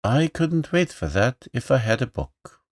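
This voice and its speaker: A man's voice: medium pitch, sing-song, measured pace, no room sound, studio quality, voice pushed up. A man reading aloud, voicing a meek, poor young boy who is lame and speaks in humble, plain slang. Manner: humble, plain-spoken, says "ain't"-style slang.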